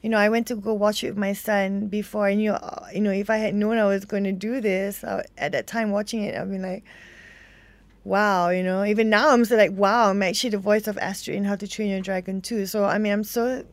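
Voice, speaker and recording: A woman's voice: medium pitch, steady tone, brisk, dry recording. A woman, an actress chatting bubbly in an interview. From Malaysia. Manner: bubbly, chatty, lots of fillers. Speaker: actress guest. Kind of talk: casual interview chat.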